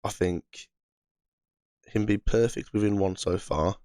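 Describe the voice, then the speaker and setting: A man with a hoarse, croaky voice from being under the weather, chatting casually through fight predictions as host of his channel. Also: on the low side, fairly even intonation, measured pace, dark tone, dry recording, clean studio sound. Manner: chatty, frequent "right" fillers.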